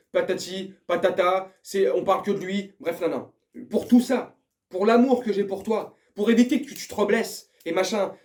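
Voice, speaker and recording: High-voiced man ranting about football. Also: a little mellow. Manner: slangy, profane, addresses viewer familiarly. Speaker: football vlogger. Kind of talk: casual football rant to camera.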